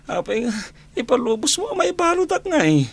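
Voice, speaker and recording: A man playing a demanding, whining prisoner son with a quick temper in a radio drama. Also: very high-pitched, sing-song, gravelly, exaggerated voice. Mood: sullen pleading. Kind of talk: radio drama acting in Ilocano.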